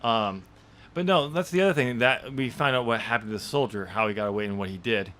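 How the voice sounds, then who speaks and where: Guy hosting a podcast, bright tense voice, chatty and laughing, lots of uh and um. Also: medium pitch, quick pace. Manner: chatty, laughs often, rambling with many fillers. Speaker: podcast host and author. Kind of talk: casual chat about his novel draft.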